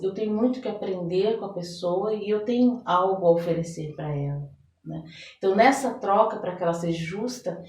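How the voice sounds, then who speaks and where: A woman's voice: medium pitch, mellow. A Brazilian woman talking as an interview guest, explaining her coaching work conversationally and often checking for agreement. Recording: roomy echo, distant from the mic.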